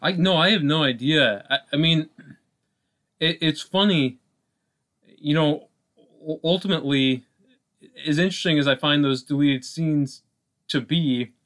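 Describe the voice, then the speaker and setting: A man co-hosting a podcast, talking casually about film in a halting way, thinking aloud with frequent asides. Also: a little high, a bit bright. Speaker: podcast co-host.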